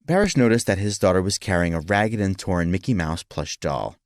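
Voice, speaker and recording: A man's voice: deep voice, lively intonation, brisk, no echo, clean studio sound. A man reading scripted historical fiction as a dramatic audiobook narrator.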